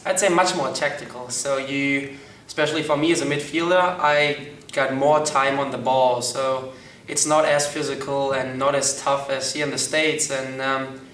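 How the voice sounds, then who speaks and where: A man's voice: mid-range voice, steady tone, normal speed, a bit bright, a little hiss or hum. Young man, roomy and distant. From Germany. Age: young adult. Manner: hesitant, many ums. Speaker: college soccer player. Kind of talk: interview answers. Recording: roomy echo, mic far off.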